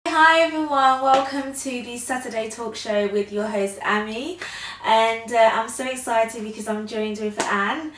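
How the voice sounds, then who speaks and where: A woman's voice: fairly high pitch, unhurried, fairly bright tone. Upbeat woman hosting, echoey room. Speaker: talk show host. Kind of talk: casual interview. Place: living room, sitting on a sofa. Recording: echoey room, far from mic, faint hiss.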